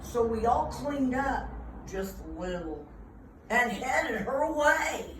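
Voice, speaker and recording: A woman reading a picture book to young children in a theatrical, emphatic way, pausing to engage them with asides. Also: on the high side, lively intonation. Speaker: preschool owner reading at a children's storytime. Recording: roomy, distant mic, faint noise.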